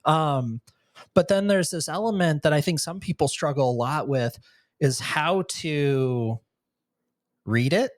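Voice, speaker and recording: A man's voice: on the high side, unhurried, dry recording, clean studio sound. A man hosting a podcast, asking long rambling questions with many restarts. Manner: rambling, self-interrupting questions. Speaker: podcast host. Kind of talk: casual interview chat.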